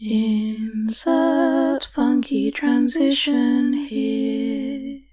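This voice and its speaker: A woman putting on a mock jingle announcer for a podcast transition, with drawn-out delivery. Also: sits high, flat delivery, mellow, no room sound, clean studio sound, faint music behind, voice pushed up. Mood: mock-grand.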